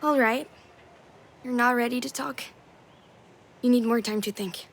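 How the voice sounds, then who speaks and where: A woman's voice: fairly high pitch, unhurried. A woman playing an imagined young daughter in a narrative video game, knowing and pointedly questioning. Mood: resigned. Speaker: voice actor in a visual novel game. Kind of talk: dramatic game dialogue.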